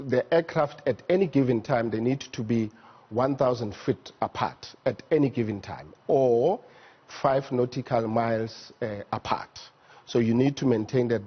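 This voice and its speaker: A man with a South African accent explaining things methodically and haltingly as an expert guest in a studio interview. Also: mid-range voice, breathy edge, dull audio. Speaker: air traffic management executive, interview guest. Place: TV news studio.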